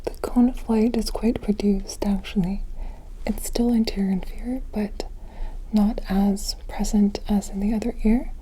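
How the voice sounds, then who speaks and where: A woman whispering softly and breathily in an ASMR medical roleplay, gently and carefully narrating an examination like a clinician. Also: mid-range voice, fairly even intonation, unhurried, slight background noise, low-quality recording.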